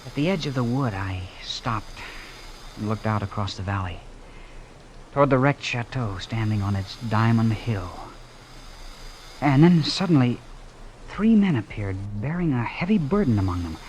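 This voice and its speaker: An actor playing a young man caught in danger, in dramatic old radio style, sometimes narrating his ordeal in hushed suspense. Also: medium pitch, animated, normal speed, lightly acted. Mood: hushed, suspenseful. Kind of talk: 1940s radio drama acting, suspenseful first-person narration. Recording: noisy old radio broadcast.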